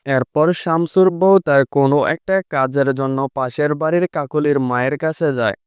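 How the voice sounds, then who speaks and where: A man narrating a cartoon story in a steady storytelling way. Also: on the high side, normal speed, smooth, no room sound, clean studio sound. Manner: steady storytelling, addresses listeners as friends.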